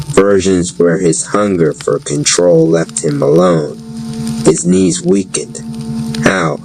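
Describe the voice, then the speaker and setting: A man narrates a story in spoken-word style with a deep voice. His delivery is solemn, measured and slow. Noise sits audibly behind him. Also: gravelly.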